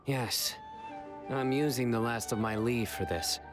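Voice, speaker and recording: An actor playing a stoic, earnest officer in a video game, speaking formally and deadpan. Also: male voice, medium pitch, measured pace, over music, close to an everyday voice. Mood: earnest, solemn.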